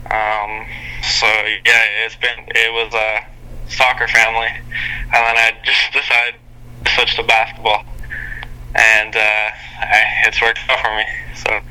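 A man's voice: on the low side, completely flat delivery, bright, forceful tone, clean tone, low-quality recording. A young Canadian man, a basketball player, giving interview answers full of fillers and restarts. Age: young adult. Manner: halting, many fillers and restarts.

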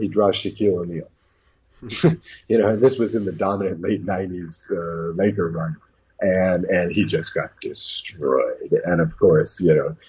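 A man's voice: low-pitched, warm tone, a little hiss or hum, poor audio. An American man commenting casually on basketball, opinionated and repeating phrases for emphasis. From New England, United States. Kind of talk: casual sports talk.

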